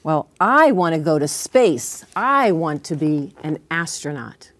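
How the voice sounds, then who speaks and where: An American woman voicing an earnest, expressive young girl in a children's storybook. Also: low-pitched, a little slow, no echo. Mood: determined. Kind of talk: storybook character reading.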